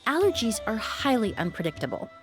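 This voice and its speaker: Calm, precise perfumer. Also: female voice, a little high. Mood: composed. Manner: composed, measured, explanatory. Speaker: perfumer with medical knowledge. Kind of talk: video game dialogue. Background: faint game music.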